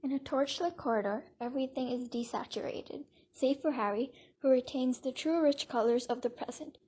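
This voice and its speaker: Husky, higher-voiced woman narrator. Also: normal speed, a little mellow. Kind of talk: scripted dramatic narration.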